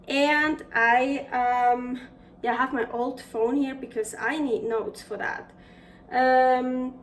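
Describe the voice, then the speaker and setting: A woman's voice: high voice, a bit bright, recorded from a distance, slight background noise. A young woman from Switzerland with a Swiss accent, hosting a casual cooking vlog in her home kitchen, chatting in a rambling way with many fillers and restarts. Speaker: cooking show host.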